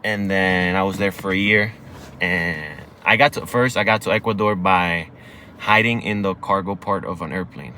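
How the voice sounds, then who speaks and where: A man's voice: deep voice, normal speed, pushed and bright, slight background noise. A young man from Cuba whose first language is Spanish, telling his story casually and candidly from inside a car. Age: young adult. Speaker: guest.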